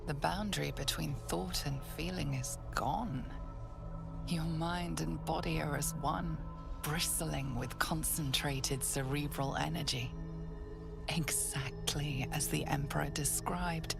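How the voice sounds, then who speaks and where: An actress playing a grave narrator of a dark fantasy game, with a measured, hushed, ominous delivery. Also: female voice, low voice, fairly even intonation, creaky, loud music behind the voice, full character voice.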